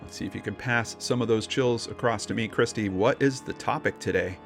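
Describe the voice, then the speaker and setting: A man's voice: on the low side, a little fast, gravelly. Casual, joking man chatting over faint music. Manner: joking, skeptical. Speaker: co-host. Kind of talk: casual chat.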